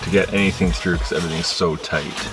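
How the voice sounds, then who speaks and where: Low-voiced man, casual hands-on vlogger. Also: steady tone, a little fast, over loud music. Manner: rambling, informal, slangy explanations. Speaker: vlogger showing his truck radio install. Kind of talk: casual hands-on vlog walkthrough. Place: in and around a parked truck.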